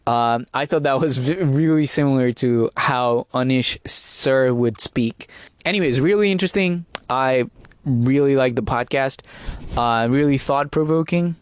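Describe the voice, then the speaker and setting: A man's voice: medium pitch, unhurried, smooth, dry recording. A man whose first language is Nepali, talking casually on his own podcast in English, rambling with fillers and restarts. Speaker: podcast host. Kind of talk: casual solo podcast talk.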